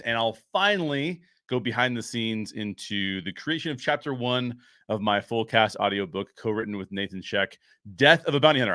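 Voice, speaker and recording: A man hosts a casual commentary show on his own. His voice is medium in pitch with a bright, forward edge, recorded very dry. He speaks emphatically and likes to repeat a phrase to stress it. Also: no echo. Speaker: show host and story writer.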